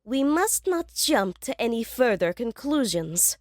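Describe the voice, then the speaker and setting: A woman's voice: on the high side, sing-song, measured pace, no room sound, studio quality. A woman playing a coolly analytical profiler in an audio drama, formal, precise and methodical as she lectures. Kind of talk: fan audio drama acting.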